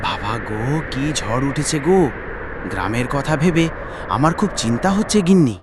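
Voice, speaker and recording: A man as an animated, anxious landlord. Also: mid-range voice, normal speed, close to an everyday voice. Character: kindly, anxious village landlord. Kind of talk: animated cartoon dialogue. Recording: clear background noise.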